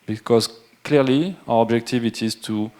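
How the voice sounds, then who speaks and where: A middle-aged French man with a French accent, presenting at a wine seminar in a halting way, with many fillers and restarts. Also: medium pitch, darkish tone. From France. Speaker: winemaker. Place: event room with a live audience.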